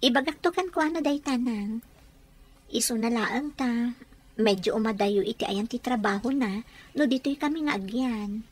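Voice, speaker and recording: A woman playing a caring, practical young bride-to-be in a radio drama, solicitous and gently insistent. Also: a little high, normal speed, lightly acted. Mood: gently reassuring.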